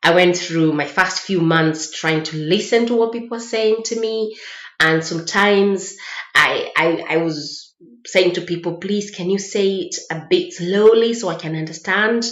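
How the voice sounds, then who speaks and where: Female vlogger, Kenyan accent, lowish voice, informal anecdotal delivery, echoey lo-fi home-style recording. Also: unhurried. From Mombasa, Kenya. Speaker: vlogger sharing personal experiences. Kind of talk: casual personal storytelling. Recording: roomy echo, dull lo-fi sound.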